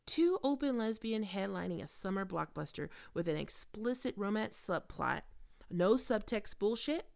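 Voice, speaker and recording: A woman's voice: medium pitch, lively intonation, normal speed, gravelly, a little mellow. A woman playing a famous, cocky and flirty actress in an intimate, teasing, confiding ASMR roleplay. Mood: earnest, scheming. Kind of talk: intimate ASMR audio roleplay, one-sided conversation.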